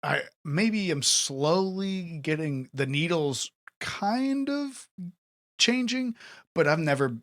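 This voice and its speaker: Easygoing male host, bright voice. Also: fairly high pitch, animated, slow, no echo, clean studio sound. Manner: easygoing, hesitant with fillers. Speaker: podcast host. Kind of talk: casual conversational interview.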